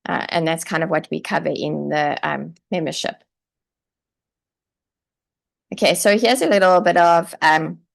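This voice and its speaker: A woman's voice: lowish voice, gravelly. A woman coaching online English teachers in a chatty live stream, giving direct, encouraging advice and saying "okay" and "like" often.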